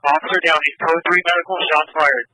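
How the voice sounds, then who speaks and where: A man's voice: a little high, steady tone, a little fast, bright, forceful tone, dry recording, poor audio. A man speaking as a police officer over scanner radio, giving terse, repetitive status updates. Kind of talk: police pursuit radio reporting.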